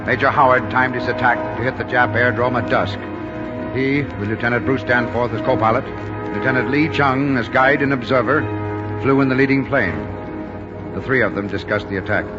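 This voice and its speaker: A man's voice: medium pitch, brisk, close to an everyday voice. A man with an American accent narrating a 1940s wartime radio drama. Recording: muffled old radio, loud music behind.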